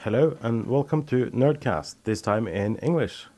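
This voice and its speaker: A man's voice: medium pitch, expressive, moderate pace, loud music behind the voice. A man hosting a casual podcast, asking hesitant questions and laughing often. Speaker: podcast host.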